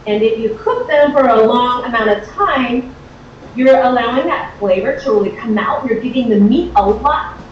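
A woman in her thirties chatting excitably and informally as she hosts a live cooking demonstration. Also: sits high. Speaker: host of a live cooking show. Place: home-style kitchen, indoors. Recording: very echoey, distant, dull, faint noise.

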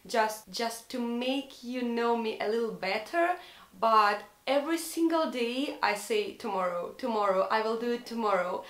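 A woman's voice: fairly high pitch, echoey room. Young Russian-accented woman chatting. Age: young adult. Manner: lively, earnest, searching for English words. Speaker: fashion vlogger. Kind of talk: chatty haul video. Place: bedroom, indoors.

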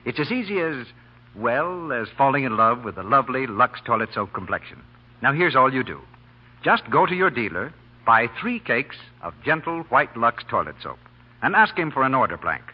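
An American man announces in classic 1940s radio advertising style. His delivery is animated, with a husky edge. The old recording is dull and lacks highs. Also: moderate pace.

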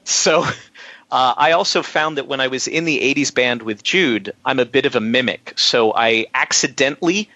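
A man chatting casually as a musician guest in an interview, telling stories in an animated, self-deprecating way and acting out remarks. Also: mid-range voice, normal speed, no room sound, lo-fi sound.

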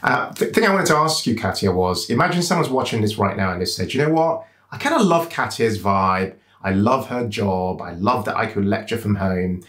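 A man co-hosting an interview from a home room with bookshelves, talking in an encouraging, lively and quick way. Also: lowish voice, roomy sound. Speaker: co-host.

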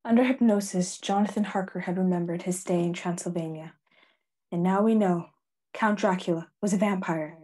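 A woman playing a solemn, insistent vampire-hunting professor in a radio play, husky and emphatic. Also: fairly low pitch, fairly even intonation, normal speed, mellow, dry recording, natural voice. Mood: grave, assured. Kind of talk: stage-style radio play acting.

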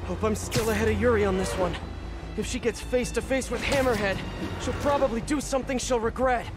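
An actor playing an earnest, wisecracking young superhero in a video game, quippy and often talking to himself. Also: male voice, very high voice, brisk. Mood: musing. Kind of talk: video game hero dialogue. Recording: loud music over voice.

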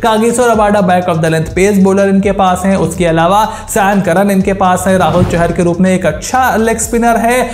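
A young man hosting a cricket analysis video, ranking teams straight to camera and slowing down to stress each announcement. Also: high-pitched, a little hiss or hum. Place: small indoor studio with brick-pattern wall. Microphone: lapel mic, camera at mid distance. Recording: roomy echo.

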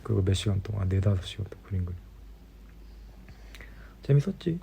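Man, husky, mellow, casual rambling talk, easygoing, dull lo-fi recording. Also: deep voice, very animated, brisk. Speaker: podcast host, painter. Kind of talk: casual bedtime chat between spouses.

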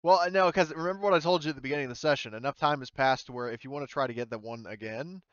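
Guy running a tabletop game, clear smooth voice, kinda muffled dry audio, chatty and informal. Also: medium pitch, very fast, fairly bright tone, dry recording. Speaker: game master. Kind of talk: casual tabletop game chat.

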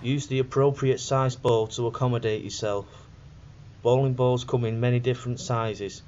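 A man's voice: medium pitch, steady tone, normal speed. A young man reading scripted narration for a student documentary in a plain, instructional way. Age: young adult. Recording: dull lo-fi voice-over with faint noise.

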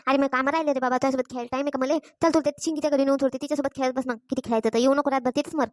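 A woman's voice: sits high, steady tone, racing, slight background noise, lightly acted. A woman voicing an exasperated, scolding village mother in a cartoon, with a rural Vidarbha Maharashtrian accent and a repetitive, complaining manner. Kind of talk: Marathi cartoon comedy voice acting.

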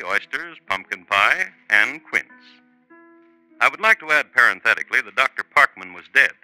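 An actor narrating a 1950s radio crime drama as a wry, urbane storyteller, dry and measured. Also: male voice, mid-range voice, pushed and bright, no echo, rough recording. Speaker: host and narrator. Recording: old 1950s radio recording, music behind.

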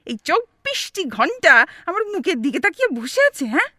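A woman playing an exasperated, sharp-tongued elderly wife with a West Bengal accent in a comic audio drama, scolding and sarcastic. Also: very high voice, very animated, normal speed, dry recording, clean studio sound, full character voice. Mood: grumbling. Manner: scolding, sarcastic, theatrically grumbling.

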